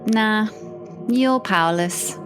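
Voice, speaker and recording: A woman's voice: medium pitch, slow, natural voice. A woman playing the Devil as a wry, unbothered, teasing ruler of Hell in an audio drama. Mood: amused calm. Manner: deadpan, teasing, dismissive. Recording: echoey room sound. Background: music.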